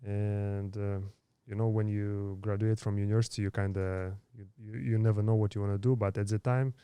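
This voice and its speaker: Guy in his 30s from kazakhstan, kinda low smooth voice, stops and restarts a lot, dry close mic. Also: level tone, dark tone, dry recording. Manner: halting, many fillers and restarts. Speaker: podcast guest. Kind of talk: casual interview storytelling.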